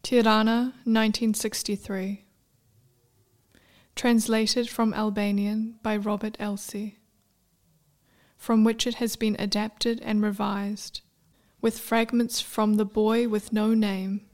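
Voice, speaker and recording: A woman reading scripted narration for a radio play in a measured, deliberate way. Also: medium pitch, flat delivery, darkish tone.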